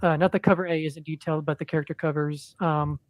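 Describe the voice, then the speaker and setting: Monotone man rambling on a podcast. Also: on the high side, fast talker, no room sound. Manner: monotone, rambling with many ums and restarts. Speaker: podcast guest, indie comic creator. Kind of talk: casual podcast chat.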